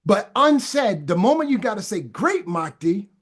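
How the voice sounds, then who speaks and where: High-voiced man preaching fervently. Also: sing-song, moderate pace, breathy edge. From Boston, USA. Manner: stammering restarts, repeats phrases for emphasis. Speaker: podcast guest. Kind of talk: casual talk building into fervent preaching.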